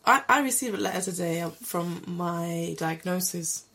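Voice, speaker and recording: A young woman with a slight Greek accent co-hosting a podcast, chatty and quick with reactions. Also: on the low side, creaky, pushed and bright. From Greece. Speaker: podcast co-host. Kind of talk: casual chat.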